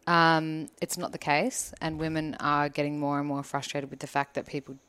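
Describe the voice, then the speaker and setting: A woman's voice: deep voice, flat delivery, moderate pace, fairly bright tone, clean tone, no echo. A young woman on a podcast, chatting casually in a blunt, opinionated way and swearing freely. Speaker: podcast guest. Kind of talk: casual chat.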